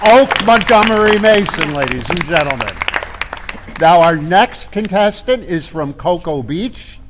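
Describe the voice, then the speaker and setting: An actor playing a showy master of ceremonies, announcing contestants on stage at a storytelling contest. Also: male voice, sits high, lively intonation, unhurried. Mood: showy, welcoming. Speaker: contest host. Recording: noisy live hall with crowd.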